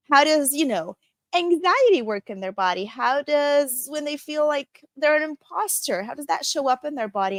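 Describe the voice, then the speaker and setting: A woman in her thirties from Lebanon, a coach and trainer, speaking reflectively with small pauses as an interview guest. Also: a little high, fairly bright tone, dry recording.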